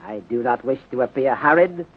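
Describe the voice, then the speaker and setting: A man playing a courteous, methodical Chinese detective inspector in 1930s radio drama style, speaking formally in clipped phrasing with deliberate pauses and dropped articles. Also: medium pitch, husky, lightly acted. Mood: polite, assured. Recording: muffled, narrow-band 1930s radio recording.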